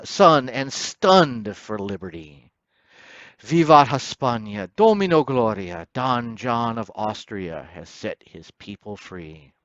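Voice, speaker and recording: Slow, theatrical man reciting dramatic poetry. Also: medium pitch, fairly bright tone, dry recording, lo-fi sound. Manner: theatrical, solemn, declamatory. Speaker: poetry reader.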